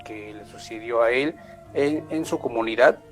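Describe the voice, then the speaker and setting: A Peruvian man hosts a live online show in a relaxed, welcoming way, his speech full of filler words. He sits at an everyday middle pitch. Quiet music plays faintly beneath his voice. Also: moderate pace. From Peru. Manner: warm welcoming with frequent filler "eh" and "este". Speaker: host. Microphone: video call.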